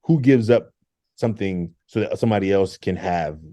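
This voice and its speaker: A middle-aged man speaks in a low, mellow voice and tells his stories with lively energy, acting out other people's lines. The sound is dry and close. He is a former engineer turned teacher, talking as an interview guest. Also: moderate pace, dry recording. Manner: lively, acts out quotes with laughs. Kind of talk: animated storytelling interview.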